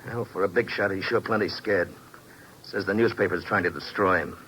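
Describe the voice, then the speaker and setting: Bitter, desperate American small-time operator, 1950s radio crime drama; forceful, tense delivery, slightly noisy old recording. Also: male voice, low voice, moderate pace. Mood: urgent, confiding. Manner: agitated, pleading, slangy tough talk. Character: desperate, bitter down-on-his-luck male private operator.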